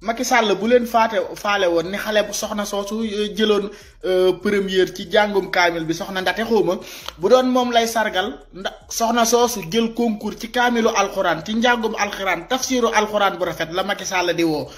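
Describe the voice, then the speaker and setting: A man in his thirties with a Senegalese accent giving impassioned, informal video commentary, animated and repeating his points as he exhorts listeners. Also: sits high, moderate pace. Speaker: social media commentator. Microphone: phone at arm's length.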